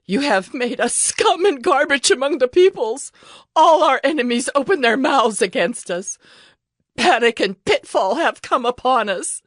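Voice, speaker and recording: A woman's voice: high-pitched, no echo, clean studio sound. An actress playing a sorrowful woman mourning her ruined city in a solemn, plaintive dramatized scripture reading.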